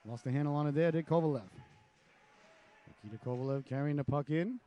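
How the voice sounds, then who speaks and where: Man, hockey play-by-play announcer, raspy with a cold, slightly high voice, chatty. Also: dark tone. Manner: chatty asides between rapid calls. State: hoarse from a cold. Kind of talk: live hockey play-by-play commentary.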